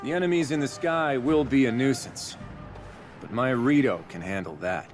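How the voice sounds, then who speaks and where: A man's voice: medium pitch, moderate pace, natural voice. An actor playing a courteous, confident male warrior chief and strategist in a video game cutscene. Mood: confident, commanding. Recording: music behind the voice.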